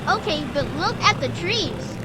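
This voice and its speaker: A woman playing an excited, curious nine-year-old boy with eager, childlike questioning in a radio drama. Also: extremely high pitch, moderate pace. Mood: wide-eyed. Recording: noisy rain and car ambience.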